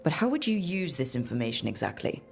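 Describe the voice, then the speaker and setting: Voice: medium pitch, sing-song, fast talker, vocal fry, soft background music. An actor playing an earnest, plainspoken young adventurer hero in a fantasy video game. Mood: questioning. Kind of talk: video game dialogue acting.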